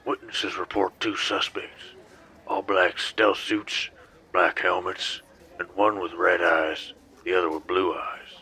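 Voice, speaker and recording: Guy doing a weary folksy sheriff, sci fi audio drama, really harsh bright raspy voice, on the deep side. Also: flat delivery, slow pace, full character voice, rough recording. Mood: matter-of-fact. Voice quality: rough, raspy. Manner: folksy, firm lawman. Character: gruff, weary male frontier sheriff in space.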